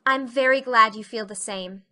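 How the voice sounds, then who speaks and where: A woman's voice: a little high, normal speed, pushed and bright. A woman voicing an earnest, talkative, imaginative teenage girl with effusive, dramatic delivery in a reading of a classic novel. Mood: earnest, chatty.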